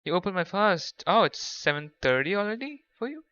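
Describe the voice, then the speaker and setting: A man's voice: high voice, very animated, fairly quick, fairly bright tone, smooth, no echo. A man hosting a casual gaming livestream, chatting with viewers in a half-distracted way. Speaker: game streamer, host. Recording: dull, lo-fi microphone sound.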